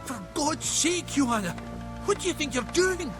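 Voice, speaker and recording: Folksy dwarf smith, swooping pitch. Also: male voice, lively intonation, unhurried, music in the background. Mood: alarmed. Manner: folksy, exclamatory, old-fashioned turns of phrase. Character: proud, flustered male dwarf armorer, gruff but good-hearted. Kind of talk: video game character acting.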